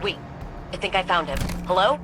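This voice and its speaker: An actress playing a wry, confident adventurer in a video game, casual and sardonic. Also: female voice, lowish voice, brisk, background noise, lightly acted. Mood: alert. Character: wry, confident female adventurer guiding a soldier. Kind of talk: scripted video game acting.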